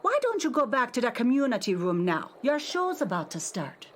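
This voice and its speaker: Gentle old lady star. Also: a little high, moderate pace. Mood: soothing. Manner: gentle, soothing, calm. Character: kind silver-haired old lady star. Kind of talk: children's radio play acting.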